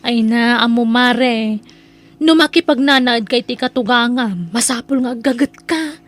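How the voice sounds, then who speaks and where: A woman's voice: fairly high pitch, measured pace, close to an everyday voice. A woman with an Ilocano accent playing a chatty, advising female friend in an audio drama. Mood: concerned, advising.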